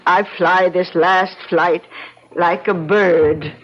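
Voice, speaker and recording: An actress playing a kindly, cheerful older woman, breathy and winded, in a radio drama. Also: on the low side, fairly even intonation, slow. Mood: cheerful, reassuring. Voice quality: husky, breathy. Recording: muffled old radio broadcast.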